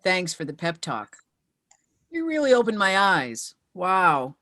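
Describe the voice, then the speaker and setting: A determined widow in her fifties, acted by a woman in a screenplay read. Her voice sits low and moves widely in pitch, in a dry, close recording. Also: big pitch swings, slow pace, pushed and bright, dry recording, full character voice. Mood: resigned, wry. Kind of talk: screenplay table read acting.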